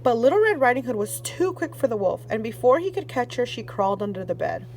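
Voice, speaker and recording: A woman's voice: a little high, fast talker, soft background music. A woman reading a children's fairy tale aloud as a storyteller, then talking directly to the kids. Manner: expressive read-aloud, warm sign-off to kids.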